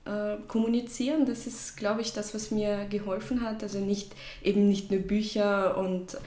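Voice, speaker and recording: A woman's voice: medium pitch, level tone, moderate pace, mellow. A woman in her thirties from Russia, a native Russian speaker, telling her personal story as an interview guest in a reflective, hesitant way. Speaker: podcast guest, shop founder. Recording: roomy echo, faint background noise.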